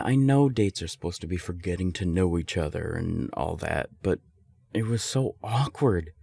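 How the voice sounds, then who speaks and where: Man playing a young serial-dater friend for audio roleplay: low gravelly voice, candid, emphatic, secretly smitten. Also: a little mellow, no room sound, exaggerated voice. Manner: conversational, hesitant, emphatic swearing. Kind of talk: intimate audio roleplay acting.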